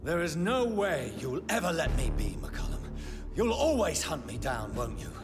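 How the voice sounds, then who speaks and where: A man's voice: a little high, big pitch swings, normal speed. An actor playing a brooding male vampire doctor in a video game, grave and menacing when pushed. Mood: bitter, weary. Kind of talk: dramatic video game acting. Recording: dramatic music behind.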